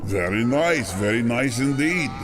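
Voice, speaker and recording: A man's voice: medium pitch, expressive, measured pace, loud music behind the voice, lightly acted. A man playing a menacing, smug crime boss with a Russian accent and clipped, broken English, in an audio drama. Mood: leering menace. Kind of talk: fan-made audio drama acting.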